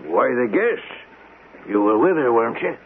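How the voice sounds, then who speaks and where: An actor playing a folksy, fatherly police sergeant in a 1970s radio drama, with a halting, mildly chiding manner. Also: medium pitch, big pitch swings. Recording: noisy, tinny old radio broadcast recording.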